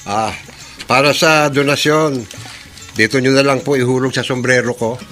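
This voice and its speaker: An actor playing a mysterious, cautious snake charmer and guide in a horror radio drama, with a Filipino accent and a measured, ominous manner. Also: male voice, medium pitch, fairly even intonation, fairly bright tone, soft background music, natural voice. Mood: mysterious, inviting.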